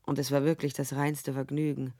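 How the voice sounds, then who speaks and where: A woman's voice: a bass voice, flat delivery, moderate pace, mellow. Dry, hard-boiled female private eye. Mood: tense, dry narration. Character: tough sardonic female private detective narrating her case. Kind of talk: radio drama dialogue and first-person narration.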